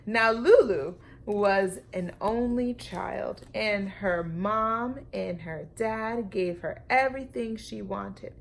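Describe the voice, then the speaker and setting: A woman reading a story aloud to children like a teacher, expressive and emphatic, with dramatic pauses and questions to her young listeners. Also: medium pitch, creaky.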